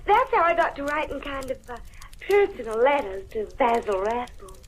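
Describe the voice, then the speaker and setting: A very high, girlish voice: a flighty belle in a vintage radio mystery. She wheedles coyly, with faint noise on the old recording. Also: big pitch swings, moderate pace, poor audio. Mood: coy, pleading. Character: coy, flighty Southern belle wife, a murder suspect. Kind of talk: old-time radio mystery comedy acting.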